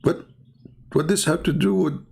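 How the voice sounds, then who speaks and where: A man's voice: a little high, steady tone, unhurried, vocal fry, darkish tone, husky. A man with an Arabic accent hosting a livestream, challenging and mocking his viewers, his voice jumping up sharply and laughing between phrases. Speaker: livestream debate host.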